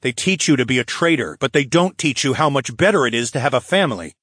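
A man plays a tough young-hearted dragon hero in a fantasy audiobook. The voice is husky and animated in pitch, with a cocky, needling, sarcastic manner. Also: fast, no echo, studio quality. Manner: taunting, sneering.

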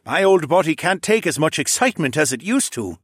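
A man's voice: on the high side, big pitch swings, fairly quick, fairly bright tone, breathy edge, dry recording, clean studio sound, voice pushed up, full character voice. A man voicing a weary, genteel old male fox demi-human, a fond father, in an audiobook.

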